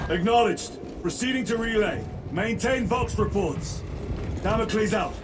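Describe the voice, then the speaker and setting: An actor playing a grim veteran space marine officer in a video game, clipped and authoritative. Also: male voice, high-pitched, expressive, measured pace, background noise. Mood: commanding. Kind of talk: dramatic video game acting.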